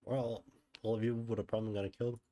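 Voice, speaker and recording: A man's voice: on the low side, flat delivery, quick pace, dark tone. A man giving casual reaction commentary over a game, blunt and dryly sarcastic. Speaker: game streamer.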